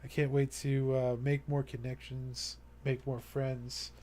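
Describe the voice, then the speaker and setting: A man's voice: mid-range voice, level tone, a little slow, dark tone. Rambling, earnest young male streamer. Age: young adult. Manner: rambling and earnest, many fillers and restarts. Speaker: live streamer. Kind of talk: casual live-stream chat to viewers.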